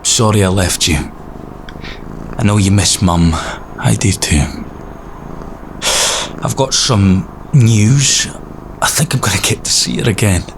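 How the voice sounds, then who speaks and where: A man acting a lonely, grieving character in an audio drama, hushed and hesitant as he talks to himself and his pet. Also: lowish voice, takes it slowly, vocal fry, pauses often, background noise. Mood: tender, sad.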